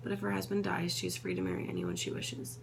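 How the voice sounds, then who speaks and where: A woman reading Bible passages aloud in a steady, unhurried way, now and then correcting a slip. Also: fairly low pitch, creaky, background noise. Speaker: Bible reader.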